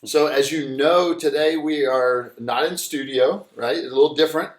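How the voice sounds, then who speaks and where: A man's voice: on the high side, moderate pace, far from the mic. A middle-aged man hosts a casual podcast interview, talking eagerly and rambling through his points with lots of fillers. He sits back from the mic, and the sound is roomy and echoey. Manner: enthusiastic, rambling, many fillers. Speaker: podcast host.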